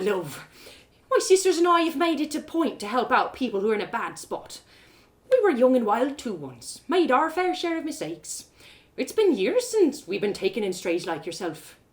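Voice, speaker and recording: Warm older barmaid for an audio story, played by a woman: raised pitch, very animated, folksy and affectionate. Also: moderate pace. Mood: warm, welcoming. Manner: folksy, affectionate, uses endearments.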